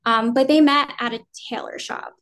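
A woman's voice: fairly high pitch, normal speed. A woman in her thirties from New Jersey, raised speaking Vietnamese first, now with an American accent, chatting casually and loosely in an interview. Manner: relaxed, rambling, many "um" and "like", laughs easily. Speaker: guest actor.

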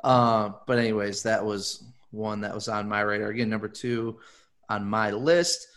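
A man's voice: lowish voice, moderate pace, a bit bright. Man from michigan, podcast host, casual, rambling, upbeat. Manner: upbeat, rambling, many fillers. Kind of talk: casual group podcast chat.

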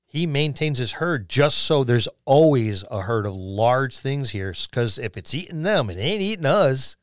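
A man's voice: mid-range voice, lively intonation, a little slow, dry recording, clean studio sound. A man co-hosting a podcast, talking casually about tabletop gaming. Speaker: podcast co-host.

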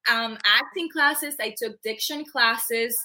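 A woman's voice: on the high side, slow, pushed and bright. A woman from Cuba, a journalism student, chatting casually and laughing as she tells stories. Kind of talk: casual chat.

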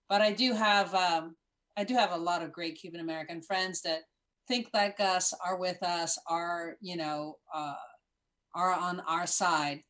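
A woman guest in casual conversation, telling an emphatic, animated story. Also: lowish voice.

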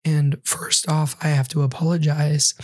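A man hosting a solo podcast, reviewing a book in an earnest, reflective way while hoarse and recovering from losing his voice, some words breathy and half-whispered. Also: a little high, level tone, measured pace, no room sound. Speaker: podcast host.

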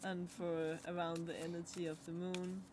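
A young adult woman whose first language is Danish, co-hosting a podcast and giving a relaxed card reading in a halting way, with many fillers and pauses. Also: on the low side, background noise. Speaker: podcast co-host. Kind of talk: casual chat, card reading.

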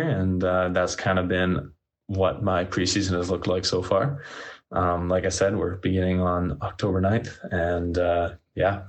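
A Canadian man, a professional volleyball player, giving a loose update with many pauses and fillers. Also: sits low. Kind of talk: casual spoken update.

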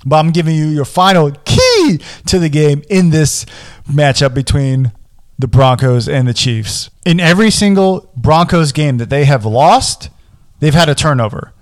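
A young man with a hoarse voice hosting a solo football podcast, giving casual but animated game analysis and often repeating words as he talks. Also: a little high, measured pace. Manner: animated, repeats words, pumps up with singsong callouts. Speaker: sports podcast host.

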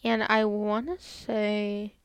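Smooth-voiced woman in casual chat. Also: mid-range voice, slow, dry recording. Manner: relaxed, short reactions and agreement. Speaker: podcast co-host.

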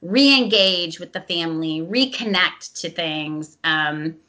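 A woman's voice: fairly low pitch, expressive, slow, pushed and bright. A middle-aged woman talking reflectively in a casual conversation, with frequent "um" and "you know". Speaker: guest, veteran spouse advocate. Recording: dull lo-fi sound.

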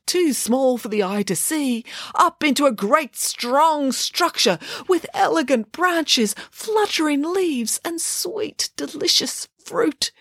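A woman's voice: no echo, clean studio sound. A woman doing a grieving, theatrical female pig. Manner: slow, high, theatrical, drops to breathy whispers. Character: grief-stricken female pig, dramatic and lofty.

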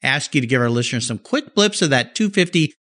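A man's voice: medium pitch, animated, fast, a bit bright. A man hosting an interview, enthusiastic and upbeat. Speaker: podcast host. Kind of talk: interview host chat.

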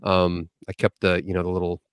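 A man in his forties with a low voice tells a story in a laid-back, casual way, dropping the odd swear word. He is recorded on a close, dry podcast mic. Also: monotone, brisk, clean tone, no room sound. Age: 40s. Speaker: podcast co-host and new EMT.

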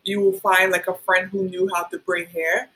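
A woman's voice: on the low side, level tone, moderate pace, pushed and bright, rough recording. Hesitant woman guest, from Liberia. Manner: hesitant, many ums and likes. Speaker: guest, hair stylist. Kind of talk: casual interview.

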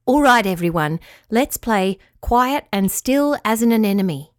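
A woman's voice: mid-range voice, animated, a little slow, no room sound, studio quality, lightly acted. A woman voicing Shaggles, a kindly but firm male creature who looks after the fish. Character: gentle, caring male water creature, friend to fish.

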